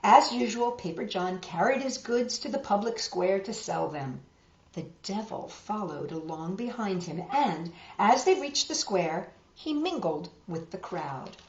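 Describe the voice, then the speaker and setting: A woman reading a picture book aloud to children, expressive and dramatic, pausing for asides to show the pictures. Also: medium pitch. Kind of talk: animated children's story reading with asides to viewers. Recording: roomy, dull lo-fi web video.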